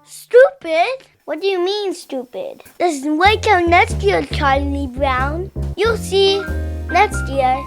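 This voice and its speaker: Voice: very high voice, animated, slow pace, pitched above the performer's own voice, exaggerated voice. A storybook narrator voicing an earnest, stubbornly hopeful little boy who insists with emphasis. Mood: defiant, determined. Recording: music behind the voice.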